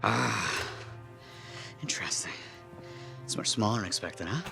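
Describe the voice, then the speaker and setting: An actor voicing a fidgety, absorbed inventor in a video game, gravelly and muttering with hesitant distraction. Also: male voice, lowish voice, a little slow, bright, forceful tone, soft background music, full character voice.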